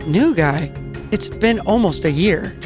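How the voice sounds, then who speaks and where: Man acting cocky, weary young doctor, highish voice. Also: expressive, unhurried, over music. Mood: wry. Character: thirty-year-old male doctor agent, cocky, weary, guarded.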